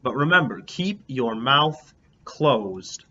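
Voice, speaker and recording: A man's voice: mid-range voice, very animated, slow pace, pushed and bright, full character voice. A man voicing a fussy, bossy, dramatic fourth-grade girl from a children's storybook. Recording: dull lo-fi, background noise.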